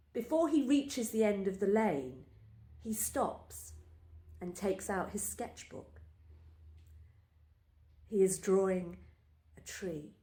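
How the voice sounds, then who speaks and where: A woman reading a first-person literary story aloud in a measured, deliberate storytelling manner. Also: mid-range voice, a little mellow. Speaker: story reader. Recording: roomy, echoing space.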